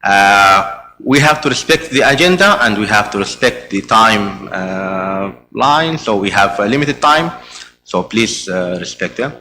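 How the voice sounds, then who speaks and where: Hesitant Arabic-speaking man, bright tone. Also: on the low side, animated, measured pace, slight background noise. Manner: hesitant, many "uh" fillers and restarts. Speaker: meeting chair presenting the agenda. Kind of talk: conference presentation. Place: conference hall.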